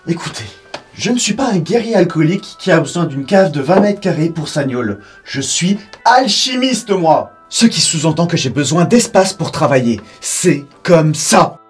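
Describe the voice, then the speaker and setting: Man acting as a defensive young alchemist, husky raspy voice, lively intonation, medieval comedy. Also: fairly high pitch, normal speed, soft background music. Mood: indignant. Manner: indignant, mocking whine when annoyed. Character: touchy young male alchemist, naive and defensive. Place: stone cellar set, indoors.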